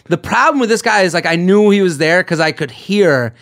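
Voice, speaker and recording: Guy hosting a podcast, high voice, rambly storyteller, keeps restarting and laughing. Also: expressive, a little fast, fairly bright tone. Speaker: podcast host. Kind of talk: casual chat.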